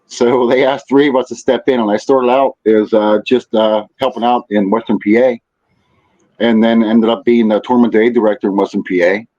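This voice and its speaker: A man from Pennsylvania recounting things casually as a podcast guest, pausing often, on a dull lo-fi microphone. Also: mid-range voice, little pitch movement, a little mellow. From Pennsylvania, United States. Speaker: podcast guest, club leader.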